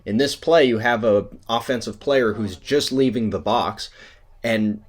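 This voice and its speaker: A man's voice: mid-range voice, measured pace. A man giving explanatory commentary as a rules analyst, wry and emphatic, often posing rhetorical questions. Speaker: rules analyst narrating video breakdown.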